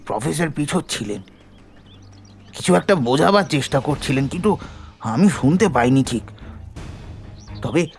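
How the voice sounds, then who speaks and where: A nervous Bengali witness in an audio drama, his highish voice full of creak. He confesses haltingly and pleadingly, badly shaken, over soft music. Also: expressive. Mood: shaken, confessing.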